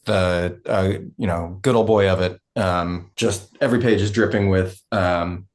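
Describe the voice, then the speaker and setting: Man, lowish voice, actor in an informal discussion, pauses often as he thinks. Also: steady tone. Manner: halting, pausing between phrases. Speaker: actor at a table read. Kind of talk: casual group discussion.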